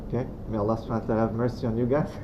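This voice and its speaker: A man from Romania giving a religious talk to students as a guest speaker. He is soft-spoken and unhurried, and he pauses often and uses many fillers. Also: mid-range voice, fairly even intonation. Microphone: venue microphone. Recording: dull lo-fi sound with clear background noise.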